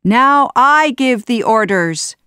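An evil male sorcerer from a storybook, acted by a woman narrator. He is imperious and menacing, pushing his words out loudly and with force. The sound is dry and close. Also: medium pitch, takes it slowly, smooth, no room sound, lightly acted. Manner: shouting, imperious.

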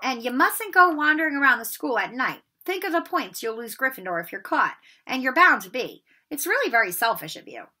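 A bossy, disapproving schoolgirl from a storybook, played by a woman reader, scolds away. The voice is raised in pitch, bright and forceful. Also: sing-song, a little fast, few pauses, heavily acted. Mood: scolding, indignant.

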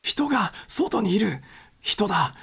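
A frantic airline passenger, acted by a male narrator, cries out in fright. His voice jumps high and loud, urgent and full of panic, in a storybook reading. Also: very animated, slow pace, gravelly, husky, full character voice. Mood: panicked, urgent.

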